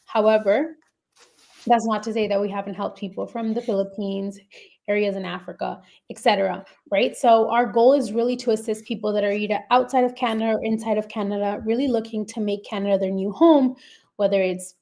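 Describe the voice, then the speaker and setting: A woman's voice: mid-range voice, fairly even intonation, fairly quick, gravelly, dark tone. A woman running her own immigration firm, hosting a live webinar and explaining programs in a friendly way, with frequent fillers and restarts.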